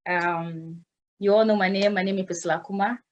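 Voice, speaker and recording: A woman's voice: mid-range voice, fairly even intonation, a little fast, muffled recording, a little hiss or hum. A woman hosts an informal video call and explains things step by step. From Ghana. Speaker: host of a nurse consulting Zoom session.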